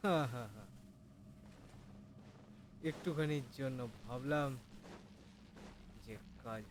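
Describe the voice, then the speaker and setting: Very fast-talking man gaming. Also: medium pitch, noisy background. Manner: chatty, addresses viewers, mixes in English words. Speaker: gaming streamer. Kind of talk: casual gameplay commentary. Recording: game music behind the voice.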